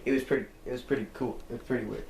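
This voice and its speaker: A teenage boy talks casually as the host of his own gaming channel, rambling and restarting his sentences as he goes. His voice sits at an ordinary pitch for a young man. The recording has a roomy echo from a room at home, with a faint hiss of noise underneath. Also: steady tone, very fast, a little mellow. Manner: rambling, chatty, self-correcting. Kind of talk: casual let's-play chat.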